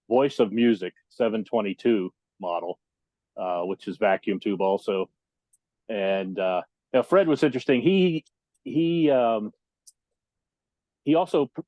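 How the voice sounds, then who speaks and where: A man in his fifties reminiscing casually as an interview guest, halting and detail-minded, over a dull, lo-fi connection. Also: fairly low pitch, dry recording. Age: 50s. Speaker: interview guest, audio electronics technician.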